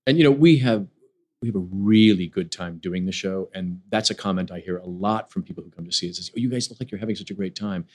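Lively, animated male stage actor. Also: deep voice, fast talker, breathy edge. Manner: animated storyteller, acts out quoted lines. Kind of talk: conversational interview.

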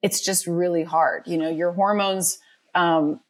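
Middle-aged woman hosting, slightly low voice. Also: fairly even intonation, unhurried. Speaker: podcast host. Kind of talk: casual conversational interview.

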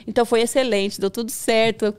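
Podcast guest woman, animated storyteller, voice leaps up when excited, relaxed chatty delivery. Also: on the high side, a little fast, clean tone. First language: Portuguese. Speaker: podcast guest, micropigmentation professional. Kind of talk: casual conversation.

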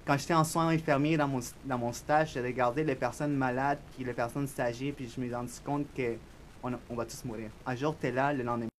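A young man with a Spanish accent, a guest on a podcast interview, talking in a soft, reflective, shy way. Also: medium pitch, fairly even intonation, brisk, slight background noise. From Spanish-speaking country. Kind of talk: casual interview chat.

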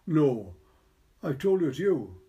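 A young two-timing sweetheart, voiced by a male reader in a storybook. He pleads and protests defensively, pitched a little above the narration. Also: big pitch swings, slow, dark tone, highs cut off. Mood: pleading, exasperated.

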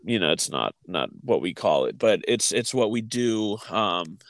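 A man chatting casually as a podcast co-host, haltingly thinking aloud as he goes. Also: on the low side, big pitch swings, no room sound. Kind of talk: casual chat.

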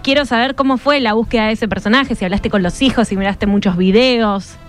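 A woman's voice: on the high side, brisk, faint music behind. A woman with an Argentine Rioplatense accent asking questions in a casual radio interview.

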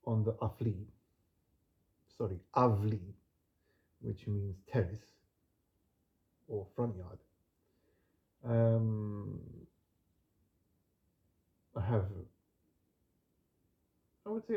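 Soft-spoken man, reflective, lo-fi. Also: on the low side, animated. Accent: non-native Greek pronunciation when reading. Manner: gentle, unhurried, reflective with soft trailing endings. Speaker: podcast host. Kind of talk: relaxed spoken monologue with poetry reading.

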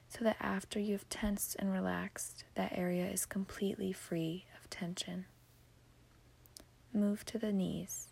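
Female meditation guide: breathy whisper, very slow pacing, hushed and soothing, for a sleep podcast. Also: mid-range voice. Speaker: podcast host.

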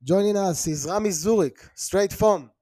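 A male podcast host talks fast and with excited emphasis as he introduces his guests. His voice sits a little higher than most men's. The sound is dry and close. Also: no echo. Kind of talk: energetic podcast hosting.

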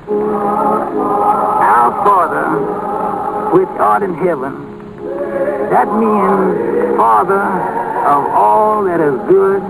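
An actor playing a loving, patient, middle-aged father in an old-time radio drama, speaking in a gentle, folksy, unhurried way. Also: high voice, gravelly, full character voice. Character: loving, patient father explaining prayer to young daughter. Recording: very noisy old radio broadcast recording.

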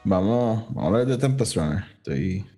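A man's voice: lowish voice, animated, normal speed, dark tone, soft background music. A man with a Puerto Rican Spanish accent hosting a podcast in casual chat, informally mixing in English words. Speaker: podcast host.

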